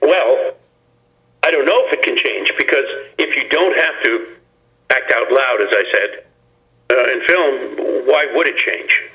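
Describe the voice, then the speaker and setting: An older actor talking as a guest in a phone-in interview, reflective and rambling, with many restarts. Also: male voice, medium pitch, lively intonation, a little slow, gravelly, sharp tone, low-quality recording.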